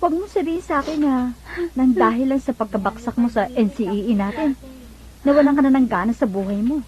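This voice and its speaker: A woman's voice: a little high, animated, fairly quick, dark tone, close to an everyday voice. An actress playing an earnest, encouraging young female friend in a Filipino radio drama. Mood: urging. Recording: dull lo-fi radio drama recording.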